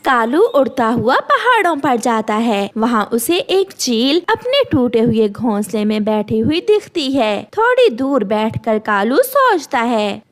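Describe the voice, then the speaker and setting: A woman narrates a children's story from a script. Her voice is high and very animated in pitch. She takes her time over each sentence. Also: slow, few pauses.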